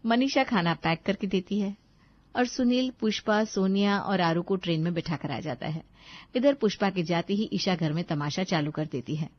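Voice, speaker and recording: A woman's voice: fairly low pitch, normal speed, dry recording, lo-fi sound. A woman narrator reading a scripted family story.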